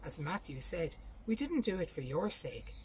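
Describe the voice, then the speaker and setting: Storybook elder sister, managing and sharp-tongued, practical female reader in the part. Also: deep voice, sing-song, normal speed, slight background noise. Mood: impatient, scornful. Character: practical, bossy, impatient older sister.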